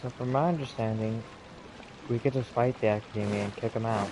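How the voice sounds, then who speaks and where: Low-pitched man, casual game commentary. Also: steady tone, brisk, noisy background. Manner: offhand, informal. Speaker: video game player commenting.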